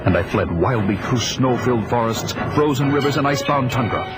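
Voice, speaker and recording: An actor voicing a grim young barbarian warrior who narrates in a measured, dramatic way for a 1980s audio drama. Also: male voice, mid-range voice, animated, loud music behind the voice, close to an everyday voice. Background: loud score under the voice.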